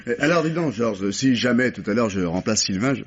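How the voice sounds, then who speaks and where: A man's voice: medium pitch, lively intonation, brisk, husky. An actor playing a friendly, luckless male understudy in an old radio drama, rueful and self-pitying. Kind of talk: 1950s French radio drama acting. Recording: dull old radio recording.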